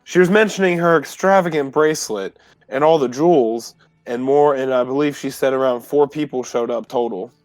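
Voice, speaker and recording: A man's voice: medium pitch, moderate pace, no echo, soft background music, close to an everyday voice. A man playing an earnest teenage adventurer in a tabletop game, explaining himself in a hesitant, deferential way. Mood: reporting.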